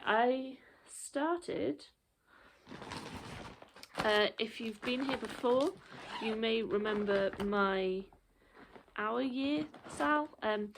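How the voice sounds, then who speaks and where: A woman's voice: mid-range voice, vocal fry. A woman in her thirties chatting casually through a show-and-tell of her cross stitch projects, rambling with frequent ums and a little out of breath.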